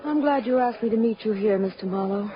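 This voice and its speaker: An actress playing a wistful, lovelorn married woman in an earnest, halting, emotional 1940s radio drama style, on a muffled old recording. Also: medium pitch, a faint crowd, a little hiss or hum, natural voice. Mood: pleading, anguished.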